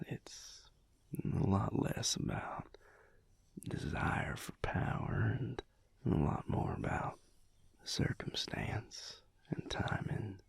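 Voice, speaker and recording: A man playing a surly but affectionate vampire cowboy lover in an intimate audio roleplay, with a Southern US accent and a slow, wry, breathy, gravelly murmur. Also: fairly low pitch, full character voice. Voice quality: breathy, gravelly, half-whispered.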